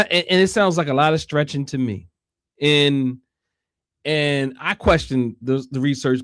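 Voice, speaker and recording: American man, livestream host, fairly high pitch, casual, halting with emphatic repetition, dry sound. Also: dry recording. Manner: halting, repeats phrases for emphasis. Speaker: online show host.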